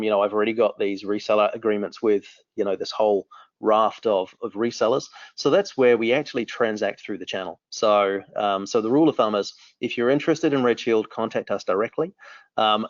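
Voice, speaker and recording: A New Zealand man in his forties talks with eager energy, often restarting his sentences. His voice sits fairly low. The audio is dry and a little dull, as if captured on a modest mic. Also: fast talker, no room sound. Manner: enthusiastic, rambling with frequent restarts. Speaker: company founder. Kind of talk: remote interview answers.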